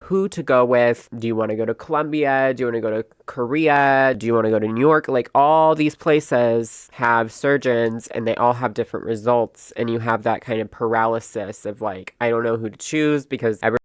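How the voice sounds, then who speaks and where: A man's voice: medium pitch, fairly even intonation, normal speed, few pauses, clear, resonant tone, dry recording. A man talking earnestly about his voice in a personal vlog, reflective and searching, often checking in with "right?". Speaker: creator in a clip being reacted to.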